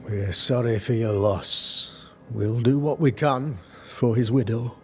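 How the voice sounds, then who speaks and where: An actor playing a pompous, pious colonial governor in a period game, speaking in formal, flowery, self-satisfied phrases. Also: male voice, medium pitch, takes it slowly, soft background music. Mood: solemn courtesy. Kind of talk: period drama game acting.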